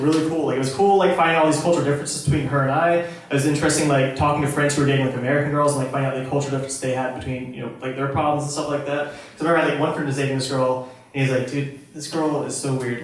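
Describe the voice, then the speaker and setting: A man's voice: on the high side, slight background noise. A man from Charleston performing a self-deprecating stand-up set, telling awkward stories from his teenage years. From Charleston, South Carolina. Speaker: stand-up comedian. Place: comedy club stage. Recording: echoey live club recording, distant mic, loud audience laughter.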